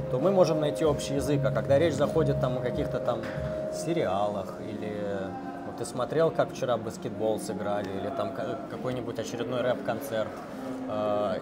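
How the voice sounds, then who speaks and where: Relaxed man in his thirties, Moscow accent. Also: mid-range voice. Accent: Moscow Russian. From Russia. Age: 30s. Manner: relaxed, rambling, with many filler sounds. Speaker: interview guest, software engineer. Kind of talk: casual interview chat. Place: busy café, indoors. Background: loud music.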